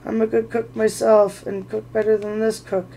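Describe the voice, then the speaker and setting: Small, scared male hobbit, gasping pleas, higher-pitched, as the reader puts him on. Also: flat delivery, normal speed, creaky, warm tone, slight background noise, lightly acted, rough recording. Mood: pleading.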